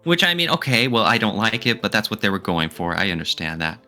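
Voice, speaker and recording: A man with a fairly low, bright and forceful voice co-hosts a casual podcast discussion. His intonation swings widely as he poses questions and argues his points. Music sits behind the talk. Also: big pitch swings, quick pace. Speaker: podcast co-host.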